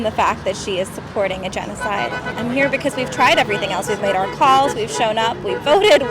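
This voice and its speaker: A woman protester speaking earnestly and with frustration in an interview outdoors on a city street. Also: mid-range voice. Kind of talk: interview at a rally.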